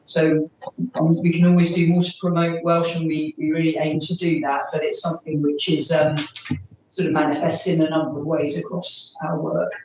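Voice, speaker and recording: A woman's voice: low-pitched, level tone, moderate pace, a little mellow, a little hiss or hum. A woman answering questions at a formal meeting as a park authority officer, hesitant and full of fillers. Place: meeting room. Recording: roomy, distant, dull recording.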